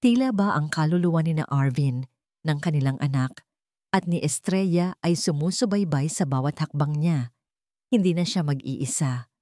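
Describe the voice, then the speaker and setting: A woman with a Filipino accent narrates a dramatic scripted story with emotive, deliberate phrasing. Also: sits low, dark tone, no echo, clean studio sound.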